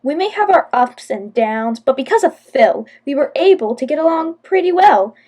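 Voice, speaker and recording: A woman's voice: high-pitched, expressive, normal speed, a little mellow. A young female student playing an entitled, neglected daughter in a radio play. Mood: eager. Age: teenager or young adult student. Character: entitled, neglected daughter, loyal to her brother.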